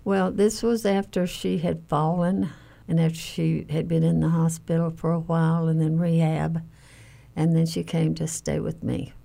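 An older woman shares recollections in conversation as an interviewee. Her voice is low and mellow, with a marked gravelly creak. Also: little pitch movement, moderate pace. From Alabama, United States. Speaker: interviewee, family caregiver.

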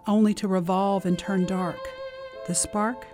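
A woman poet reciting her own lyrical poem in a measured reading style. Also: medium pitch, steady tone, loud music behind the voice.

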